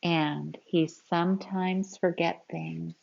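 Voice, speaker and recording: A woman reading a picture book aloud to young children in an expressive storytelling style, with simple explanatory asides. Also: on the low side, takes it slowly, vocal fry, muffled recording.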